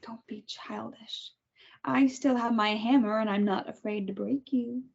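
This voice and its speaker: A woman's voice: on the high side, fairly even intonation, a little slow, gravelly, darkish tone, dull audio. A woman reading a cold, self-righteous captor at a table read, making clipped, controlled threats. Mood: contemptuous.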